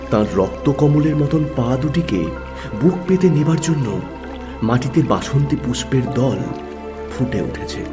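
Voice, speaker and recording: Male narrator, dramatic literary storytelling, lively intonation, slightly high voice, measured delivery, music bed.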